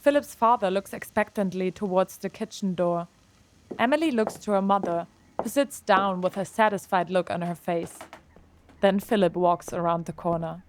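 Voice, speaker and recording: A woman's voice: mid-range voice, moderate pace, a little hiss or hum. A woman reading scripted narration as the narrator of an audio drama.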